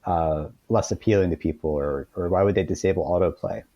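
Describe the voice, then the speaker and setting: A man's voice: low voice, a little fast, dry recording, lo-fi sound. A man speaking as an interview guest, explaining things informally and point by point in casual conversation.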